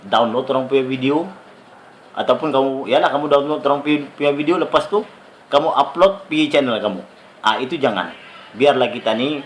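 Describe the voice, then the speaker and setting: A man's voice: mid-range voice, slight background noise, poor audio. Chatty man with a Sabah accent. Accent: Sabah Malay. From Sabah, Malaysia. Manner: chatty, rambling, with many fillers. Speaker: YouTuber. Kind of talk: casual talk to camera.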